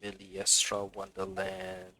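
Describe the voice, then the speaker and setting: Male let's-play streamer, lowish and very sharp-edged, casual live commentary with music behind. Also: big pitch swings, slow pace, vocal fry, slight background noise. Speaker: game streamer.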